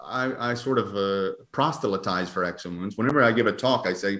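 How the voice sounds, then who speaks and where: Low-voiced man, halting, in an echoey room. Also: a bit bright. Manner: hesitant, halting, hedging. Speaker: astronomer, interview guest. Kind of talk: conversational interview answers. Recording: roomy, echoing sound.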